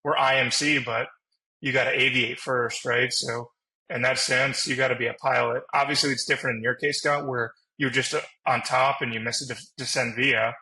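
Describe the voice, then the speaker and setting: A man's voice: medium pitch, steady tone, moderate pace, bright, forceful tone, rough recording. A man who flies as a pilot, chatting casually as a panelist on a podcast.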